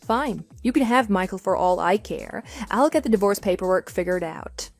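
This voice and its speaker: A woman's voice: mid-range voice, animated, normal speed, soft background music. A woman playing a coolly sarcastic wronged wife in a radio drama, speaking in a dry, firm, matter-of-fact way. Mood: cool, insistent. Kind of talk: scripted radio drama dialogue.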